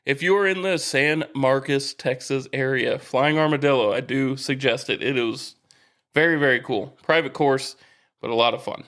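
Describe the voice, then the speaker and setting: Guy co-hosting a sports podcast, medium pitch, easygoing storytelling, super casual. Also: moderate pace, a bit bright.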